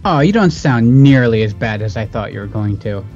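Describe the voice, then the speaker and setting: A man's voice: on the low side, animated, normal speed, darkish tone, muffled recording, faint music behind. A man with a nasal voice, bantering casually as a podcast co-host.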